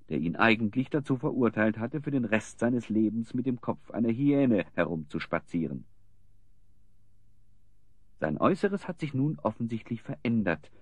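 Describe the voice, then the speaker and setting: A man narrating a radio play in a wry, measured storytelling style with a husky voice. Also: on the low side, no room sound.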